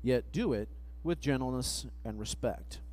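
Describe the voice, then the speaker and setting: A man's voice: mid-range voice, lively intonation, a little mellow. Man preaching from a church pulpit. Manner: measured, reads verses slowly, trails off at phrase ends. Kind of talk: sermon with scripture reading. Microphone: pulpit microphone, far camera.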